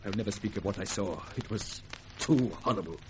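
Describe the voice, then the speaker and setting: An actor playing an anguished, resolute French Creole gentleman in a vintage radio drama, speaking formally and dramatically. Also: on the low side, a little fast, close to an everyday voice. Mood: grave, shaken. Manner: formal, impassioned, dramatic delivery. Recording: noisy vintage radio recording.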